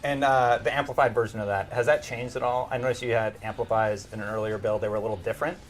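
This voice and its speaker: A man's voice: mid-range voice, steady tone, fast talker. A man co-hosting a casual chat and asking questions, with faint noise in the background.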